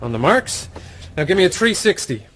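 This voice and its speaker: A brusque, highish-voiced shady fence. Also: male voice, big pitch swings, normal speed. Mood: brusque, wry. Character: gruff, shifty male fence, brusque and businesslike. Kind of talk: radio drama acting. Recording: clear background noise.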